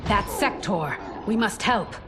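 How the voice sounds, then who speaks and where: A proud warrior empress in a video game, fiercely loyal to those at her side. Her delivery is earnest and formal, with an average pitch. Clear dramatic music plays behind her. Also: lively intonation, takes it slowly. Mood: urgent.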